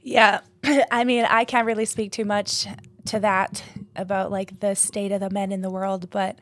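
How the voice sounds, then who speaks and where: A woman hosting a podcast in casual chat, giggly and teasing, talking in quick playful bursts. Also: medium pitch, flat delivery, a bit bright. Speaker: podcast host.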